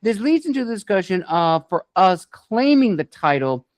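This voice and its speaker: A man's voice: high-pitched, sing-song, normal speed, no echo. Male interview host, dry close sound. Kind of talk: structured interview questioning.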